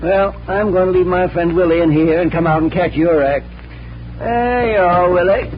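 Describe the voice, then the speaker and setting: Vaudeville ventriloquist, male actor: high voice, corny showman, noisy 1940s radio recording. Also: moderate pace, lo-fi sound, close to an everyday voice. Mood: affable. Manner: showman's patter. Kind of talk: 1940s radio drama acting.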